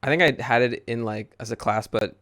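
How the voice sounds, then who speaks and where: Gravelly man hosting a chat. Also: medium pitch, fairly quick, a bit bright, no room sound, studio quality. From United States. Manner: easygoing, hesitant with many restarts. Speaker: podcast host. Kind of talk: casual interview chat.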